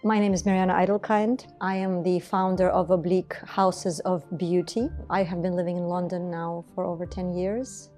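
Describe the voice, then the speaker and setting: A woman's voice: on the low side, level tone, moderate pace, hardly any pauses, over music. A woman, speaking earnestly and reflectively as a business founder in a documentary interview. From Estonia. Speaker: entrepreneur, beauty business founder.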